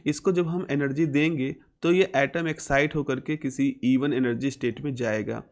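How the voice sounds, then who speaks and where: A man's voice: mid-range voice, fairly even intonation, moderate pace. A man with an Indian accent lecturing as a physics teacher, explaining step by step and repeating key phrases.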